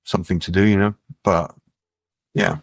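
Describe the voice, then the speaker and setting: A middle-aged man from France, a musician guest in a casual interview, talking in a relaxed, musing way with many fillers. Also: deep voice, moderate pace, creaky, no echo. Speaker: musician and label head, guest.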